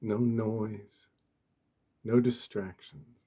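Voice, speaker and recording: A man speaking softly and gently in short, deliberate phrases with pauses, as an ASMR host giving a meditation talk. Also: on the low side, steady tone. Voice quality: soft, hushed, breathy.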